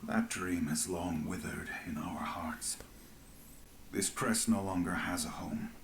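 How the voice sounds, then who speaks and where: An actor playing a weary, disillusioned rebel veteran in a fantasy video game, speaking in a grave, measured way. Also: male voice, low voice, fairly even intonation, background noise. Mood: bitter, somber. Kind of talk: dramatic fantasy game voice acting.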